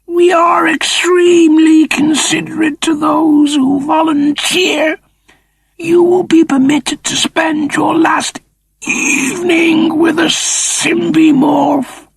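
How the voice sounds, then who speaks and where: A man voicing a cold, officious chimp-like creature in a strained, creaky falsetto, with slow, pompous delivery in an audiobook. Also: steady tone, no room sound, heavily acted, poor audio. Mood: coldly persuasive. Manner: slow, deliberate, pompous pronouncements.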